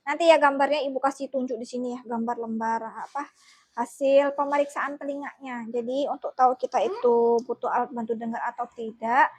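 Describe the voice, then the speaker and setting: A woman's voice: high-pitched, fairly even intonation, brisk, husky. A middle-aged woman, chatting informally and giggly to viewers and family in a casual home vlog. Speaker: family vlogger and mother.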